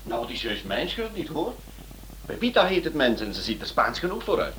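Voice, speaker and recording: An actor playing a cheeky, colloquial young office assistant in a radio play. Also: male voice, medium pitch, sing-song, normal speed, a bit bright. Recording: noisy old radio drama recording.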